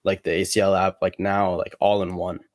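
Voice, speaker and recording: A man's voice: deep voice, level tone, a little fast, no room sound, studio quality. A twenty-year-old man chatting casually as a podcast guest, rambling hesitantly and saying "like" a lot. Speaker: guest cornhole player. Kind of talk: casual podcast interview chat.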